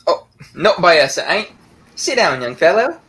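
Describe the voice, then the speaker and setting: Actor as excitable, chatty train passenger, animated. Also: male voice, sits high, moderate pace. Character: chatty, excitable working-class London male train passenger. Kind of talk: 1930s radio play acting.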